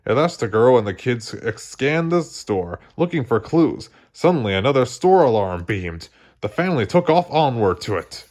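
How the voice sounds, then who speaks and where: A man narrating a children's story aloud in an expressive read-along style. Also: medium pitch, normal speed. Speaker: storybook reader.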